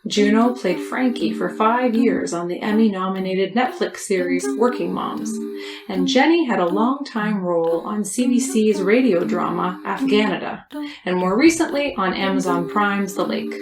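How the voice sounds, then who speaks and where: A woman's voice: medium pitch, moderate pace, reverberant, a crowd in the background, poor audio. A woman co-hosting a podcast, reading a scripted introduction. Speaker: podcast co-host.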